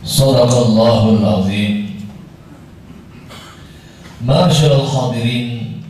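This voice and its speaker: A middle-aged man with an Indonesian accent giving a formal, measured and emphatic Friday sermon with Arabic recitation. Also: medium pitch, darkish tone. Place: indoor mosque prayer hall. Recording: very echoey hall, distant, faint noise.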